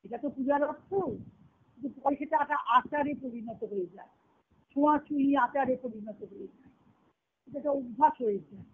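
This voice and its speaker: A woman's voice: sits high, a little fast, slightly rough. A woman giving a devotional discourse as a spiritual teacher, explaining reflectively and repeating key phrases. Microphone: phone conference call. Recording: tinny narrowband conference-call phone line.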